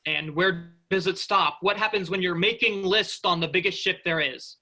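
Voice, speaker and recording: A man's voice: sits high, moderate pace, bright, forceful tone, full character voice. A man playing a restless, sarcastic space freighter worker, informal and exasperated, in a staged reading. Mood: wistful, challenging. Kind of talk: virtual staged reading of a sci-fi play.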